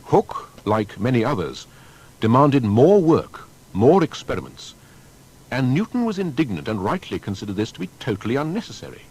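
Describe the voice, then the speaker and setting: A man's voice: medium pitch, sing-song, normal speed, darkish tone, breathy edge, rough recording. A man narrating a scripted biographical documentary in a dramatic, emphatic storytelling manner.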